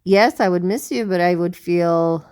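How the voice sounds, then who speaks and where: A woman's voice: on the low side, unhurried, a little mellow, clear, resonant tone. A woman co-hosting a podcast in casual conversation, talking in an earnest, rambling, musing way. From South America. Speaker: podcast co-host.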